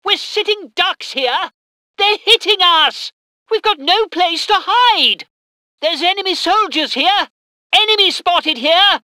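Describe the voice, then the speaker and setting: High, bright British train soldier. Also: female voice, lively intonation, slow pace, no room sound, clean studio sound, full character voice. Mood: alarmed. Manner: clipped military reporting. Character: eager, dutiful British army train crew soldier. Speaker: game voice actor. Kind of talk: military strategy game unit voice lines.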